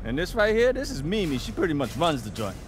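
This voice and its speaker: A man's voice: fairly high pitch, huge pitch swings, quick pace, over music. An actor playing a cocky, exuberant young American street racer in a video game, slangy and drawn out in his emphasis. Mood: friendly hype. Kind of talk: video game cutscene acting.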